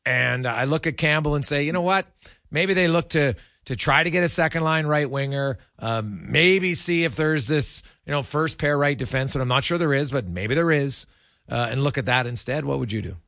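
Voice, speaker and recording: A man hosting sports radio, talking casually about hockey and thinking aloud in a halting, rambling way. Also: fairly high pitch, creaky, a bit bright, no echo, studio quality.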